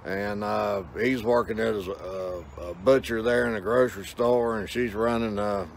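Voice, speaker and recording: Older male vlogger, around sixty, gravelly and fry-heavy, casual rambling delivery, noisy outdoor phone audio. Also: on the low side, fairly even intonation, unhurried. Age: older, about 60. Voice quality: gravelly, creaky. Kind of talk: casual rambling vlog talk to camera. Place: outdoors, in a yard or by water. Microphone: phone at arm's length. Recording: outdoor ambient noise and hum.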